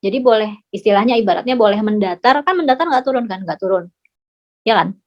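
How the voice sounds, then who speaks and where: A woman talks a class through math problems in a relaxed, chatty way. She keeps checking whether everyone has understood. Her voice sits at a middling pitch, and the recording sounds a little dull and lo-fi. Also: quick pace. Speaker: math tutor. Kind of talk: casual tutoring walkthrough. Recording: slightly dull lo-fi audio.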